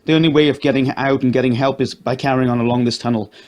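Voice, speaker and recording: A man voicing a gentleman who firmly and urgently urges others on to safety. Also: mid-range voice, fairly even intonation, fast talker, voice pushed up, natural voice. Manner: firm, urgent, reassuring. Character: calm urgent male gentleman guiding others.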